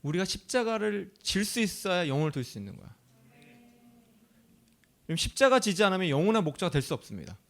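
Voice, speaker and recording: Animated, high-voiced pastor preaching casually. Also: male voice, a little fast, creaky, long pauses. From Chungcheong, South Korea. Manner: conversational and animated, asks the audience questions, laughs easily. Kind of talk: sermon.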